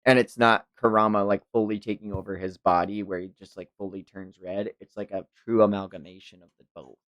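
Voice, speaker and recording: A man's voice: on the low side, little pitch movement, brisk, a bit bright, no echo. A man hosting a manga read-along, mixing narration with enthusiastic, casual fan commentary. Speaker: host reading a manga aloud on livestream.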